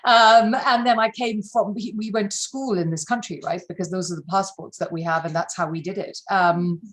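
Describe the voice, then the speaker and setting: A woman's voice: mid-range voice, steady tone, normal speed, a bit bright. A middle-aged woman from Malawi, an interview guest speaking candidly and emphatically, often repeating words for stress.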